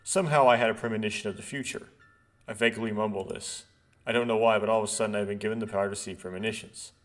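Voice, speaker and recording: A man doing a casual let's play, haltingly thinking aloud and reading visual novel text out. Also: lowish voice, fairly even intonation. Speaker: let's player. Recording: background music behind voice. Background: game music.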